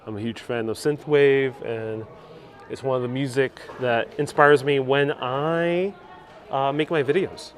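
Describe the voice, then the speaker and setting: Upbeat guy doing a live stream outside, chatting with viewers, average pitch, clip-on mic. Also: fairly even intonation, a little slow. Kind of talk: casual live stream chat, reading viewer comments. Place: outdoors in a city at sunset. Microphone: wireless clip-on mic.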